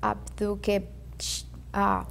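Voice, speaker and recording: Halting Venezuelan woman, flat voice. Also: medium pitch, vocal fry. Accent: Venezuelan Spanish. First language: Spanish. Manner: careful, halting, with fillers and restarts. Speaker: real estate agent guest. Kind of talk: interview answers.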